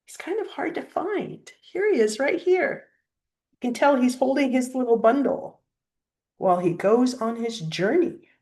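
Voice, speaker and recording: An older woman reading a picture book aloud as a grandmother, pausing to prompt a child with gentle questions. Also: sits high, animated. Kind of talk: storybook read-aloud with asides to a child.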